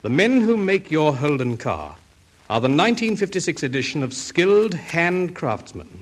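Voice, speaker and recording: A man reading a scripted sponsor message on 1950s radio, measured, earnest and formal. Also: on the high side. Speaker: sponsor spokesman. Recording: 1950s radio broadcast recording.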